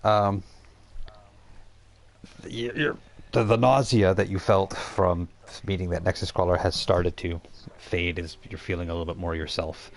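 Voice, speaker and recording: A man with a low voice narrates a tabletop role-playing game as its game master. He improvises scenes informally, feeling his way haltingly through explanations. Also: fairly even intonation, a little hiss or hum.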